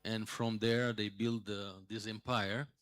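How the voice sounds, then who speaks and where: A man's voice: fairly low pitch, flat delivery, a little slow, fairly bright tone, no echo. Hesitant man in his sixties, dry sound. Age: 60s. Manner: hesitant, many fillers and restarts. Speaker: hotel manager. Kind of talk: conference talk.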